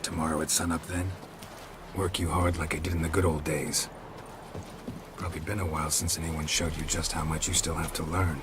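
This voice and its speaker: An actor playing a seasoned monster hunter and fatherly mentor in a video game, gruff and laconic with dry understatement. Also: extremely low pitch, little pitch movement, brisk, background noise. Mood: stern, concerned. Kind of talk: cinematic video game acting.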